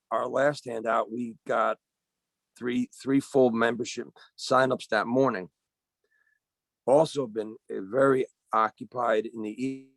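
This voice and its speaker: Gravelly man, voice going, halting delivery on a video call, dry sound. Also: mid-range voice, no echo. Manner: halting, searching for words. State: losing his voice. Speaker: union organizer. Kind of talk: panel remarks on a webinar.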